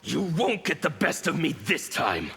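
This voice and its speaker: Gravelly, scarred revolutionary zealot for a fighting game, bright forceful edge, slow defiant delivery. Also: male voice, on the high side, very animated, heavily acted. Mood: menacing. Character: bitter fanatical male revolutionary of chaos, scarred and menacing. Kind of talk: video game fight banter.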